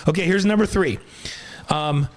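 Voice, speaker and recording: Man, sports show co-host, fairly high voice, enthusiastic, casual chat. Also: normal speed, a little hiss or hum.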